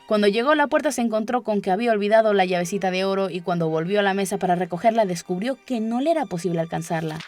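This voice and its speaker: A woman's voice: medium pitch, darkish tone. A woman reading a children's story aloud as scripted audiobook narration. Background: background music.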